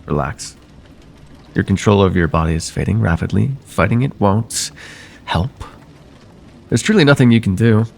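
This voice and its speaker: A man playing a soothing, quietly possessive vampire therapist in an ASMR roleplay, soft-spoken and deliberate, with formal wording and hypnotic pauses. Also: on the low side, creaky, slight background noise. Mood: coaxing, possessive. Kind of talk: ASMR roleplay, slow intimate monologue.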